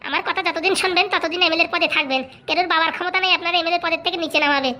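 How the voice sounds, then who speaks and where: A woman's voice: very high-pitched, fairly even intonation, pushed and bright. A woman voicing a cunning, boastful young male political fixer in a comic cartoon, brash and bossy, with a rural Bengali accent. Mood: smug, cocky. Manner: brash, bossy, fast-talking.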